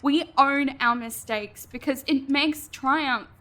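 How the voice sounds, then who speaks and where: A woman's voice: sits high, slow, fairly bright tone. A woman acting a feisty, blunt tabletop cleric player in a comedy audio drama. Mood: scolding. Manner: blunt, emphatic.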